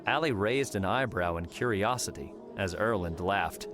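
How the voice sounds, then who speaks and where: A man with a fairly low voice narrates scripted fiction. His storytelling is measured, and faint music plays behind him. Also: animated. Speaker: audiobook narrator. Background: soft background music.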